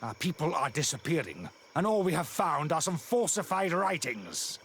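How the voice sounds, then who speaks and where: Game role: haughtily indignant male priest, very animated pitch, faint swamp frogs behind. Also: moderate pace, creaky, slight background noise, heavily acted. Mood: indignant, disdainful. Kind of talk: video game cutscene dialogue.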